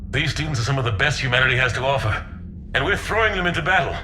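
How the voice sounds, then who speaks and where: A man playing a senior military officer in a game, middle-aged, gruff but warm and weary of war. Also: mid-range voice, expressive, racing, slight background noise. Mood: grave, weary. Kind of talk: cinematic game dialogue.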